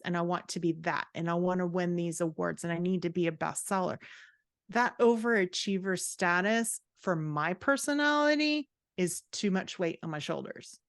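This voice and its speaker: A woman's voice: fairly low pitch, no room sound, studio quality. A woman guest talking casually and expressively in an interview, giving her opinions in informal language. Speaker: podcast guest, fantasy author and writing coach.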